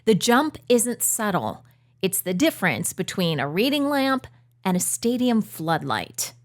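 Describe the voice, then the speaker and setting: A woman reading a news feature in a clear, explanatory manner. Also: mid-range voice, big pitch swings, unhurried. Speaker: news narrator. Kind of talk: scripted news narration.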